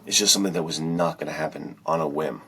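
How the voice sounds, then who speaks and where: Very deep, gravelly man chatting casually. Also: fast talker, sharp tone, slight background noise. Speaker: podcast speaker, filmmaker and writer. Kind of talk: casual conversational storytelling.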